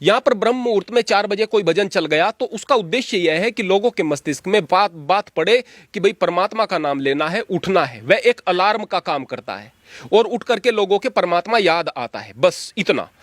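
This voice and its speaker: A man's voice: high voice, lively intonation, normal speed, no room sound, clean studio sound. A man giving his opinions in an interview like a preacher, emphatic and exhorting, repeating phrases for stress.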